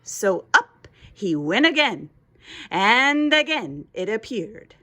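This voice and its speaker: A woman reads a children's bedtime story in an expressive style. Her voice sits fairly high and moves widely in pitch, and she takes her time over each line. Music plays underneath. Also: slow.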